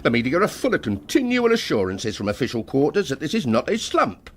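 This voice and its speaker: Wildly animated, pompous detective inspector. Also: male voice, a little high, a little fast. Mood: blustering. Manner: pompous, wheedling, theatrical. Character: grandiose, broke art-fraud detective inspector boss. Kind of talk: British radio comedy drama acting.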